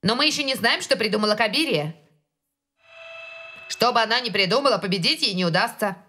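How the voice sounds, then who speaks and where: A woman's voice: mid-range voice, expressive, brisk, sharp tone, soft background music. A woman voicing a spirited teenage girl in a fantasy cartoon dub. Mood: earnest. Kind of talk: animated cartoon dub acting.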